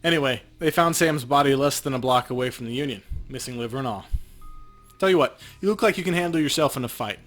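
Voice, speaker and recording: A man's voice: medium pitch, fast talker, fairly bright tone, lightly acted. Man playing a wry, hunted street runner over game music. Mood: gruff, persuading. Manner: wry, streetwise tough-guy talk. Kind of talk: reading video game dialogue aloud.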